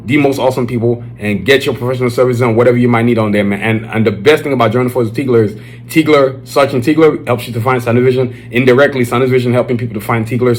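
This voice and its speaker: Nigerian guy hosting a podcast, mid pitch, hyped and loose, lots of "you know what i'm saying". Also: a little hiss or hum. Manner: loose, hyped-up talk with slang fillers. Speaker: podcast host. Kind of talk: casual solo podcast chat. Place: Dallas, Texas area.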